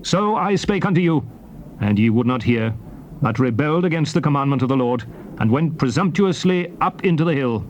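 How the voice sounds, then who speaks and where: A man's voice: medium pitch, expressive, normal speed, a little hiss or hum, close to an everyday voice. An actor playing a solemn biblical leader recounting and exhorting his people, declaiming archaic scripture theatrically. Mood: grave, reproachful. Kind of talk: dramatized scripture reading, theatrical oratory.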